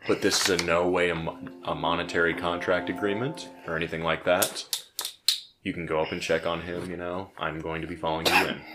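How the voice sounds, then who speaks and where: A man's voice: deep voice, level tone, a little fast, over loud music. A man playing a tabletop game podcast, rambling casually with lots of fillers. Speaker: player.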